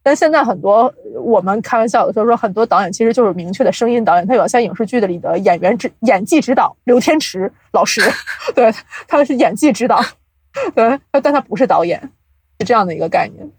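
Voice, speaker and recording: A woman's voice: a little high, expressive, fast, darkish tone, husky, dry recording. A woman speaking as an interview guest, explaining audio production in casual chat and mixing in English terms.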